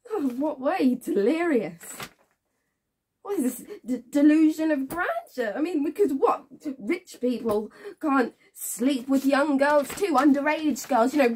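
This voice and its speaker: Woman acting a wounded young woman, breathy and high. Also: heavily acted. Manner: halting, repeats phrases, swings between hushed and intense. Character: wounded, bitter young woman confronting her past abuser. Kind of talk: dramatic stage monologue, naturalistic acting.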